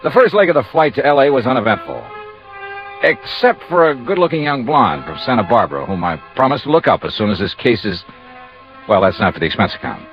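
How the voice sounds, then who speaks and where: An actor playing a wry, self-assured American insurance investigator, brisk and dry, in 1950s radio noir style. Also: male voice, medium pitch, close to an everyday voice. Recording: dull old radio recording.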